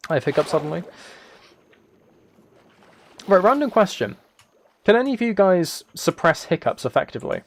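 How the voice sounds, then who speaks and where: A man's voice: high voice, big pitch swings, moderate pace, long pauses. A man chatting casually on a livestream as he plays a game, rambling and thinking aloud. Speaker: live streamer. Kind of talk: casual livestream chat while gaming, reading chat.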